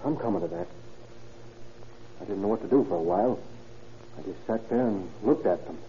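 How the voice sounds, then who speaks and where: A man's voice: on the low side, fairly quick, mellow, natural voice. A young male suspect, played by an actor in a vintage radio crime drama. He is defensive and earnest, talking in slangy, pleading bursts. The recording is muffled, with some background noise. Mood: grim recounting.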